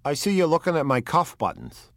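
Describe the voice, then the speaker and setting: A man's voice: a little high, huge pitch swings, fairly bright tone, no echo, voice pushed up, full character voice. A man putting on a brooding old gangster. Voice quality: broken, ungrammatical speech. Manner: brooding, slow, nostalgic. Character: older male gangster, gloomy and sentimental.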